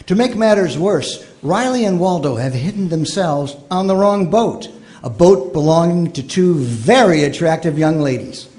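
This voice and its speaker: A man's voice: a little high, expressive, moderate pace, darkish tone. A man announcing in old-time radio style, reading scripted sponsor copy and story narration.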